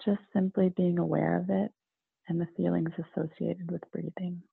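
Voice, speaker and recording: A woman leading a guided meditation in a calm, soothing manner, with gentle pauses between phrases. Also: lowish voice, gravelly, slightly rough, no room sound. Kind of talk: slow guided meditation narration. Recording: narrow phone-like, muffled sound.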